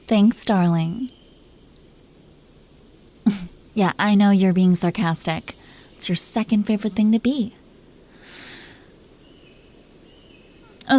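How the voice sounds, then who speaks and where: A woman's voice: medium pitch, darkish tone, lightly acted. Woman playing a haughty, teasing dragon queen wife. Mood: amused teasing. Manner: imperious, teasing, affectionately condescending. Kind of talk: scripted roleplay monologue spoken to the listener.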